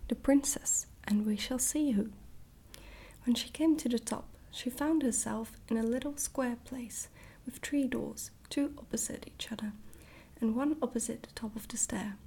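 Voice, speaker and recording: A woman gently reading a storybook aloud in a hushed, whispered ASMR style. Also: fairly high pitch, normal speed, slightly rough, low-quality recording. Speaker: storybook reader, ASMR creator.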